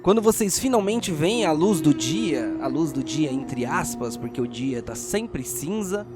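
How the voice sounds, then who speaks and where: Brazilian man, higher-pitched voice, RPG narrator and host, theatrical delivery, music underneath. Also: lively intonation, a little slow. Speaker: game master and host. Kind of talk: narrated tabletop RPG audio drama. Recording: music playing behind the voice.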